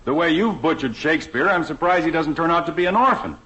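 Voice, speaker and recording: A man's voice: on the high side, expressive, quick pace, bright, forceful tone, husky, heavily acted. A man playing a grumpy, sarcastic middle-aged father in a 1950s radio sitcom, delivering exasperated wisecracks dryly. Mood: grumbling sarcasm. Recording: dull vintage radio recording, faint noise.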